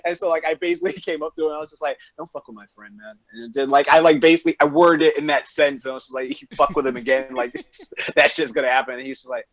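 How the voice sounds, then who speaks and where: A man's voice: on the high side, fairly even intonation, fast, few pauses, no room sound. A man co-hosting a casual chat, telling stories emphatically and swearing freely. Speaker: podcast co-host.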